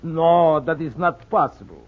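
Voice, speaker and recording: An actor playing a courteous Russian restaurant owner with halting, formal English and a Russian accent, in 1940s radio style. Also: male voice, fairly high pitch, lightly acted. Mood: obliging. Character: slow, courteous Russian immigrant restaurant owner, muddled with figures. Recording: muffled, thin 1940s radio broadcast.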